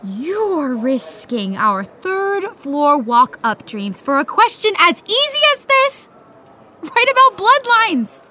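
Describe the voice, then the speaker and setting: A woman's voice: very high-pitched, big pitch swings, slow, sharp tone, slight background noise, heavily acted. A woman playing a teasing, pushy but supportive teenage best friend in naturalistic podcast drama, speaking emphatically. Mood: encouraging. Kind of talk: naturalistic fiction podcast acting.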